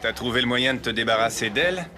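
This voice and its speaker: A man voices a sly, easygoing male fighter who speaks smoothly and teasingly. His pitch sits in an ordinary middle range. Background noise runs under this anime dub. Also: fast, close to an everyday voice. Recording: noisy background.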